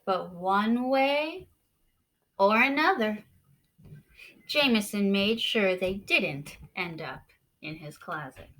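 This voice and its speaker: A woman teacher reading a picture book aloud to children in a deliberate, expressive way, stressing key words. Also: mid-range voice.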